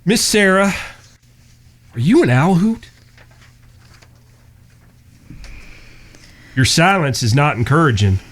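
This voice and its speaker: Man acting a courteous, drawling cowboy gentleman. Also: a little high, very animated. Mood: probing. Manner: courteous, measured, earnest. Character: chivalrous blind cowboy, polite but steely. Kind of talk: Western audio drama acting.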